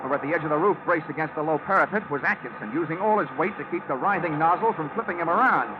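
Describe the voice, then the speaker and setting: An actor playing a wisecracking, hard-boiled American private investigator in vintage radio drama, with a wry, punchy, slangy delivery. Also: male voice, fairly high pitch, quick pace, background noise, lightly acted. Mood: tense, dramatic. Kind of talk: hard-boiled 1940s-50s radio narration and acting. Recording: dull vintage radio recording with music.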